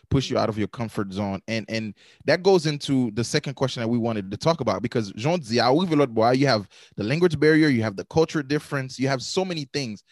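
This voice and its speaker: A brisk male interviewer, recorded close and dry. He keeps things moving with quick, encouraging affirmations. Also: mid-range voice, no room sound. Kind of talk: casual interview.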